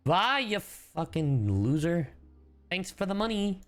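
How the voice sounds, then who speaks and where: A man's voice: high voice, animated, slow pace, faint music behind. A man streaming a game, tossing out blunt, joking, crude comments.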